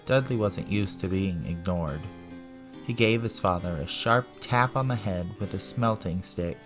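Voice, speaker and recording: A man's voice: deep voice, measured pace, fairly bright tone, soft background music. A man reading as the narrator of a dramatic audiobook.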